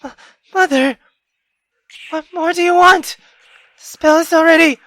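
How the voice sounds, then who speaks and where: A man's voice: extremely high pitch, steady tone, measured pace, pushed and bright, dry recording, pitched above the performer's own voice, heavily acted. A man voicing a frightened young woman who pleads emotionally with her mother, her delivery tremulous, in a visual novel reading. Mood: desperate.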